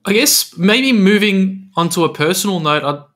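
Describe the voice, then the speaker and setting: A man's voice: sits high, expressive, normal speed, a bit bright. A young man hosting an interview, asking casual questions in a hesitant way with many fillers, on a headset in a small room. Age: young adult. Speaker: podcast host.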